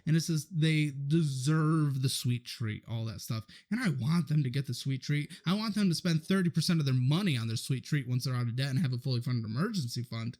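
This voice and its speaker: A man's voice: mid-range voice, brisk, hardly any pauses, a little mellow. A man guesting on a podcast, talking loosely with lots of fillers about his financial show. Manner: loose, filler-heavy talk. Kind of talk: podcast conversation.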